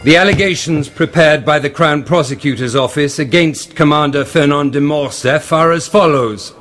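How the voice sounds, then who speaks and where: A man plays a stern Crown Prosecutor in a radio drama. His voice sits fairly high and declaims formally, as if laying out charges, with prominent music behind it. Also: normal speed, a bit bright, close to an everyday voice. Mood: stern, accusing. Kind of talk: radio drama courtroom address.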